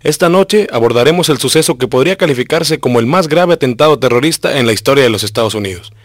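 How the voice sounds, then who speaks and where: A man's voice: mid-range voice, lively intonation, fast, no echo, studio quality. A man presenting a radio programme, reading a scripted, formal address to listeners. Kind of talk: scripted radio show introduction.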